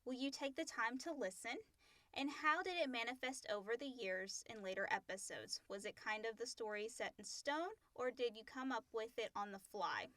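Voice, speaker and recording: Woman, podcast co-host, bright forward voice, fairly high pitch, casual read-aloud delivery. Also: moderate pace, no room sound. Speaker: podcast co-host reading listener questions. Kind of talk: casual chat and reading questions aloud.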